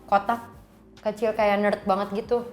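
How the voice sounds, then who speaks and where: A woman's voice: mid-range voice, level tone, moderate pace, slight background noise. A young woman from Java in her twenties, a singer guesting on a casual podcast, chatty and laughing often.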